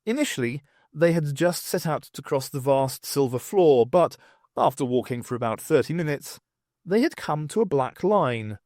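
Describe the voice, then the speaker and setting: A man reading scripted science fiction narration in an expressive storytelling manner. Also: fairly high pitch, normal speed, no room sound, clean studio sound. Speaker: audiobook narrator.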